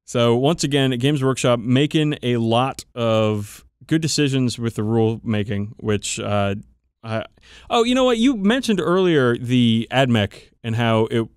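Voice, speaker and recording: Guy cohosting a podcast, middle pitched smooth voice, super casual, cusses a lot while telling stories. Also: measured pace, no room sound, clean studio sound. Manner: profane, animated storytelling about tabletop games. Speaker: podcast co-host. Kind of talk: casual chat between friends.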